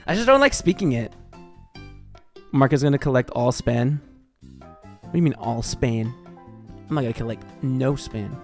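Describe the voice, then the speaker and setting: An American man livestreaming in casual chat, excitable and sweary, reacting and reading things aloud. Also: medium pitch, moderate pace, vocal fry, long pauses, faint music behind. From Texas, United States. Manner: excitable, swearing, jumps from muttering to shrieks. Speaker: livestream host.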